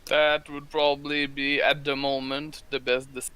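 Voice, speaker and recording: A man playing a tabletop game, joking quickly in casual banter. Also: medium pitch, level tone, pushed and bright, clear, resonant tone. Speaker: player.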